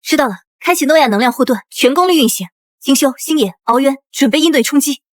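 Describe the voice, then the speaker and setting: Casting: decisive, money-minded young princess for animated drama, high clear-cut voice, very dry close sound. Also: female voice, quick pace, dry recording. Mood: commanding. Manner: commanding, self-assured.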